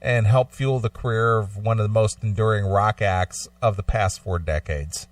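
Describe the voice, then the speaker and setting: A man's voice: on the low side, steady tone, moderate pace, dry recording. A man hosting a casual talk show in a blunt, conversational way, at times reading an article aloud. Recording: slightly distant microphone, dry sound.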